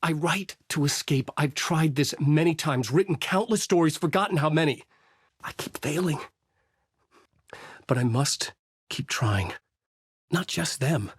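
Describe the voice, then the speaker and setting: An actor playing a haunted, desperate horror writer, narrating in a brooding, intense noir style for a video game. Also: male voice, a little high, animated, unhurried, long pauses, husky, no echo, clean studio sound. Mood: desperate, strained. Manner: brooding, intense, terse delivery. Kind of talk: dramatic first-person noir narration.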